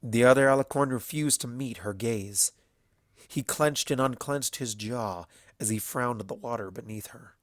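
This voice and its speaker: A man narrating a scripted story in a deliberate, dramatic storytelling manner. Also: mid-range voice, no room sound, studio quality. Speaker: fan fiction narrator.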